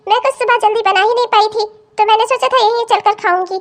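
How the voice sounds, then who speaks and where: A woman's voice: extremely high pitch, steady tone, quick pace. A woman playing a spoiled, entitled married daughter in a family melodrama, whiny, haughty and demanding. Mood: complaining, entitled. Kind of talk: Hindi family melodrama story acting.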